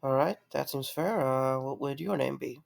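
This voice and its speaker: A man acts out a wry, practical visitor in an audio drama. His voice is medium in pitch with plenty of vocal fry. He sounds matter-of-fact and a little sceptical. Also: moderate pace. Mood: polite, curious.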